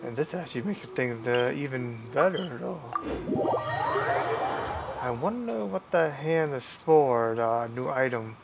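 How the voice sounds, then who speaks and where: A man livestreaming a game with casual commentary, halting as he thinks aloud. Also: mid-range voice, expressive, vocal fry, muffled recording, very noisy. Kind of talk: casual live gameplay commentary. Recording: loud game music behind voice. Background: video game music.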